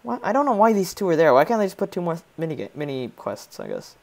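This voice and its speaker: Gravelly teenage boy with Polish accent. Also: a little high, very animated, quick pace. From Poland. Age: teens. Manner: joking, rambling, reads game lines in put-on voices. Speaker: gaming YouTuber. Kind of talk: casual let's play commentary.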